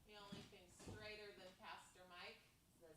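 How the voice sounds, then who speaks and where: A woman's voice: mid-range voice, fairly even intonation, normal speed, natural voice. A woman playing a sarcastic, irreverent wisecracker in a staged reading, with a wry, mocking delivery. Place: large echoey room, live staged reading. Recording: echoey hall, distant mic, clear noise.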